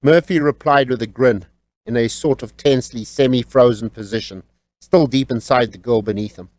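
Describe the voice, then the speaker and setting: A man narrating a scripted audiobook story in a measured, wry storytelling manner. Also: medium pitch, a little mellow, dry recording.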